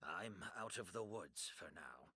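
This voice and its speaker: An actor playing a weary, resolute man in a video game, speaking in a grave and deliberate dramatic style. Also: low voice, expressive, bright, forceful tone, breathy edge, full character voice. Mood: grave, resigned.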